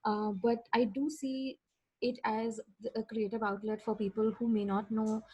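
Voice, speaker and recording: A woman's voice: on the high side, little pitch movement, moderate pace, warm tone, breathy edge, poor audio. A woman with an Indian accent, talking casually as a guest on a podcast. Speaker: podcast guest, fiction podcast creator. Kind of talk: casual chat.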